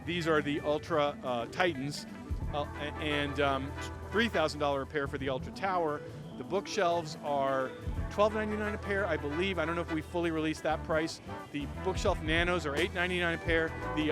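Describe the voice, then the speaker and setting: Guy pitching products at a trade show, kinda high voice, salesy and into it, music playing loud-ish behind him. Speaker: company presenter. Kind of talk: unscripted product presentation.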